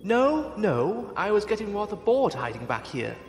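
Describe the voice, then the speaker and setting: A man's voice: sits high, sing-song, moderate pace, a little hiss or hum. A man playing a proud, urbane fleet admiral in an audio drama, suave, confident and formal, in an echoey reverb. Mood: proud, amused. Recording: echoey, long hall-like reverb.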